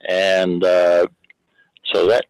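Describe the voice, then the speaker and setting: A man's voice: low-pitched, completely flat delivery, extremely slow, clear, resonant tone, no room sound, poor audio. Older male caller telling a fond story. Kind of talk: phone-in call.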